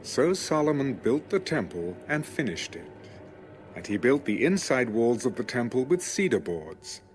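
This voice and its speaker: Expressive male Bible narrator over soft music. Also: mid-range voice. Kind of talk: scripted scripture narration.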